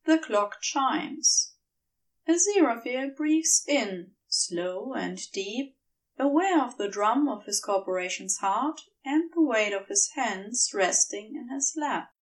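A woman reading scripted fiction aloud as a storyteller, in a measured manner with deliberate pauses. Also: fairly high pitch, lively intonation, distant mic, rough recording. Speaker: podfic reader.

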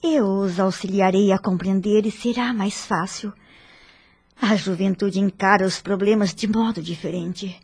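Very husky, dignified wife. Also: female voice, medium pitch, fairly even intonation, darkish tone. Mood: earnest, resolute. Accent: Brazilian. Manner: earnest, formal, deliberate. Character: wronged middle-aged wife and mother, dignified, resolute, forgiving. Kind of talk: radio drama acting.

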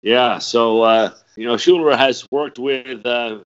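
An older man with a raspy, hoarse voice from a cold hosts an interview in his middle register. He talks haltingly, full of fillers and restarts, and the sound is dull and lo-fi. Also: medium pitch. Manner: hesitant, many fillers and restarts. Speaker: podcast host. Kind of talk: casual interview chat.

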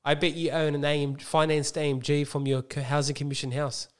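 A man telling stories in casual, animated, self-mocking podcast banter. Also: fairly high pitch, fairly quick. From Australia, Lebanese background. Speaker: podcast co-host.

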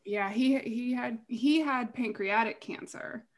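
A woman's voice: fairly high pitch, unhurried. A woman from Virginia, a podcast guest telling her story openly in easy conversation. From Virginia, USA. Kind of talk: casual conversation.

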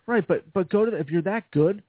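A man with a slightly raised, husky voice talks sports in quick, excited bursts, repeating his phrases as he builds a point. He co-hosts a casual radio chat. The audio is dry and narrow, like a phone line. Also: high voice, no echo. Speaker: radio co-host.

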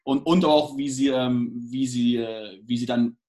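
A man's voice: mid-range voice, steady tone, measured pace, warm tone. A young man from England, the guest in a casual interview, talking hesitantly with many restarts and fillers.

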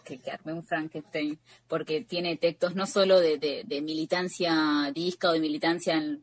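A woman with an Argentine accent talking casually and reflectively as an interview guest, often halting and repeating words. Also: sits low.

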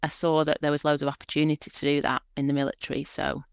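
A woman in her forties speaks as a podcast guest, chatty and reflective, often pausing on small fillers. Her voice is smooth and clean, and the recording is close and dry with no room sound. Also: sits low, completely flat delivery, no echo. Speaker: guest, proofreader and editor. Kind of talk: conversational podcast interview.

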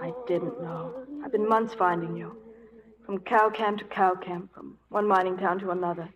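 A woman's voice: lowish voice, steady tone, normal speed, lightly acted. An actress playing a devoted, refined young woman from back East, earnest and pleading, in 1940s radio drama style. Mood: hopeful, hurt. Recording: dull old radio broadcast, audience noise.

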